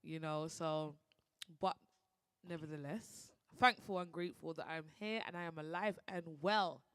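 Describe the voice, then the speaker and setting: A woman co-hosts a casual chat show, her voice still husky with a chesty cough from being ill. She laughs easily between lines, and the sound is close and dry. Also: deep voice, moderate pace, a bit bright, dry recording. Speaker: co-host.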